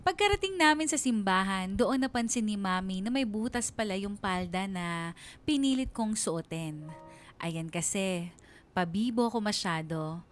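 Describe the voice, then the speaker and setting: A woman's voice: medium pitch, lively intonation, a little slow, soft background music, lightly acted. A woman playing a bashful young Filipina who narrates her memories in a confiding, self-mocking way for a radio drama. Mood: embarrassed.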